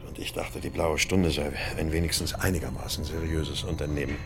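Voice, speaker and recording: An actor in a radio play playing a haughty, irritated husband, disdainful and impatient. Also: male voice, very deep voice, steady tone, fairly quick, creaky, noisy background. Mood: disgusted.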